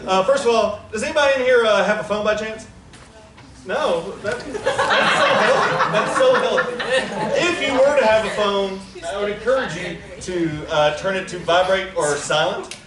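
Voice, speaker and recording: A man's voice: high voice, animated, normal speed, over a noisy crowd. A man, chatting with a live theater audience as he gives house notes and introduces the cast, laughing between remarks. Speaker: producer introducing a table reading. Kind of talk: live casual announcements to an audience. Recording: very echoey room, distant mic, audible noise.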